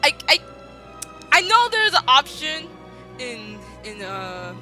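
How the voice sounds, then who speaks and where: A giggly, excitable woman doing a casual dramatic reading with friends and throwing in comments. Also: high-pitched, slow pace. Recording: music playing behind the voice. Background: music she put on.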